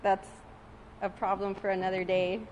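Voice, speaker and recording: A tired woman attorney giving a measured statement at a press conference. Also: mid-range voice, monotone, darkish tone, muffled recording, a little hiss or hum. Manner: measured, deliberate.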